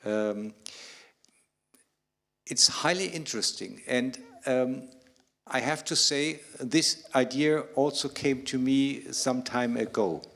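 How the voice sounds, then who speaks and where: A man answering as a panelist, halting with frequent restarts and fillers. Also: mid-range voice, gravelly, fairly bright tone.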